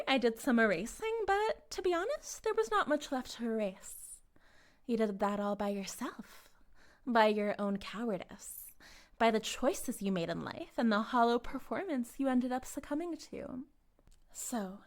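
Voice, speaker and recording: A woman playing a charismatic, smugly menacing cult leader in a dramatic audio roleplay, speaking in a pompous, articulate and condescendingly persuasive way. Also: a little high. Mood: smug condescension.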